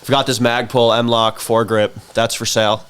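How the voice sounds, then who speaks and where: A man's voice: mid-range voice, little pitch movement, moderate pace, fairly bright tone, a little hiss or hum. A sleep-deprived man hosting a casual livestream in a rambling, informal, self-deprecating way, his voice tired. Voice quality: rough, tired voice. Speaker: livestream host, shop staff. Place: small dark indoor room.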